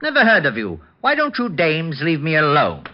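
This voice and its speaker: A man's voice: on the high side, wildly swooping pitch, moderate pace, a little hiss or hum. An actor playing a flighty, cheeky eccentric man in old-time radio comedy, prissy and theatrical, prone to chatter and song. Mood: cheeky, playful. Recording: muffled old radio drama recording.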